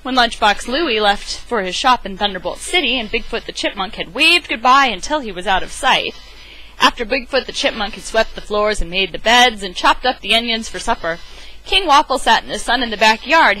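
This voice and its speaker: A woman's voice: a little high, expressive, moderate pace, pushed and bright, slight background noise, poor audio. A woman giving a theatrical, emphatic read-aloud of a children's picture book, as an amateur storyteller on a webcam microphone.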